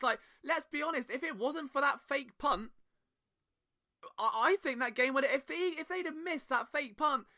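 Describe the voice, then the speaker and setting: A man's voice: high-pitched, bright, forceful tone. A man hosting a solo sports podcast, talking with passion and emphatic rhetorical questions. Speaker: podcast host.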